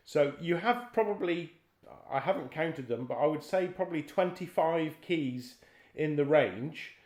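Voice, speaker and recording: A man's voice: a little high, expressive, measured pace, darkish tone. Echoey-sounding man asking interview questions. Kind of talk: conversational interview.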